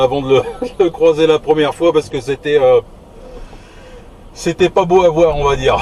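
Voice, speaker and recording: A man's voice: on the high side, darkish tone. A man in a casual two-way radio chat, talking hesitantly, drawing out words and repeating his phrases. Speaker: CB radio operator. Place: inside a car outdoors. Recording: muffled, band-limited, noisy car, distant mic.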